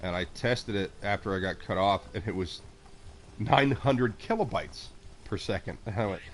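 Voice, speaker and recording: A man's voice: fairly low pitch, moderate pace. A man from Texas and South Florida, casually chatting with viewers while he plays a game. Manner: chatty, talks to chat and coos at pets. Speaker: streamer playing a game. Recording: faint background noise.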